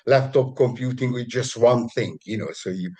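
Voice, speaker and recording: A man on a video call explaining his work in a halting way, often restarting and repeating words. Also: mid-range voice, mellow. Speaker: guest describing his work. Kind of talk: casual video call conversation.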